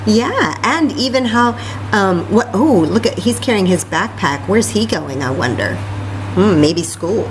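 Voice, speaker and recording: Female children's story time host, very lively intonation, chatty read-aloud delivery full of questions, captured with clear background noise. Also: medium pitch, a little slow. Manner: animated, chatty, exclaiming and questioning as if to small children.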